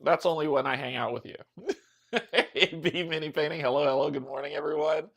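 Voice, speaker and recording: A man's voice: on the high side, steady tone, fairly quick, creaky, fairly bright tone. A man hosting a casual livestream of a tabletop game, chatty and upbeat, greeting his viewers by name and joking about himself. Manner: chatty, upbeat, self-deprecating. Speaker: streamer and host.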